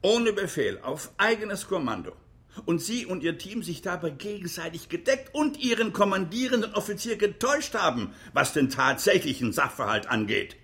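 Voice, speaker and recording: An actor playing a relentless, accusing prosecutor in a radio drama, pointed and insistent. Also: male voice, sits high, sing-song, moderate pace, gravelly, pushed and bright, heavily acted. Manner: pointed, insistent cross-examining. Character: relentless, accusing male prosecutor at a war crimes trial. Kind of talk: German radio drama acting.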